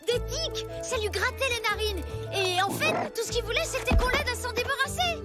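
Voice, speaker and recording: A woman voicing a plucky, stubborn young child in a French animated cartoon, impassioned and emphatic. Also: very high-pitched, fairly quick. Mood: excited, reassuring. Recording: loud music behind the voice.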